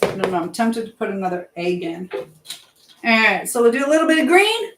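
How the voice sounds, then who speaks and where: A woman's voice: a little high, very animated, a little fast, echoey room, background noise. A woman hosting a live stream in casual chat, drifting informally between advice to viewers and comments on what she is doing.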